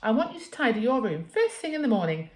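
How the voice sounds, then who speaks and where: A woman's voice: on the high side, big pitch swings, fast, a little mellow, voice pushed up. A woman voicing a fussy, scolding mother in a children's storybook, calling out in a prim, insistent way. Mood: stern, scolding. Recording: echoey room.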